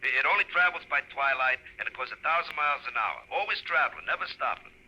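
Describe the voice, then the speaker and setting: An actor playing a chummy, mischievous hobo ghost in a 1950s radio horror-comedy, with an American accent and slangy, ungrammatical buddy talk. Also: male voice, a little high, lively intonation, fast talker, bright, forceful tone, slightly rough, heavily acted. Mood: boastful, animated. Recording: muffled old radio recording.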